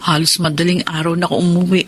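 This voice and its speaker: A man's voice: fairly high pitch, flat delivery, quick pace, fairly bright tone, slight background noise. A man playing a mild, polite spouse in a radio drama. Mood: matter-of-fact.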